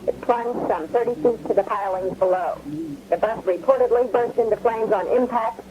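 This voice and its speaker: A muffled, clearly noisy 1970s radio recording carries a grim female reporter played by an actress. She reads scripted disaster bulletins in a measured, formal and dramatic delivery. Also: a little high, close to an everyday voice.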